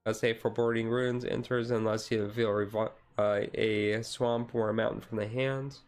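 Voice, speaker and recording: A man streamer giving a casual, chill card-deck walkthrough, halting and self-correcting, his voice a little tired. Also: on the low side, little pitch movement, faint music behind. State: voice tiring. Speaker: streamer, card game hobbyist.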